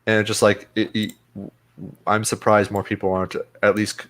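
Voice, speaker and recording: A man hosting a casual political podcast, talking in a blunt, defiant and deliberate way. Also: fairly low pitch, flat delivery, a bit bright. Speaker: host.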